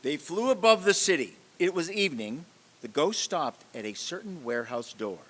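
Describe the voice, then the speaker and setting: A man's voice: on the high side, sing-song, moderate pace, a bit bright. A man narrating a radio play as a vivid, wry storyteller with dramatic delivery. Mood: storytelling. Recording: echoey hall.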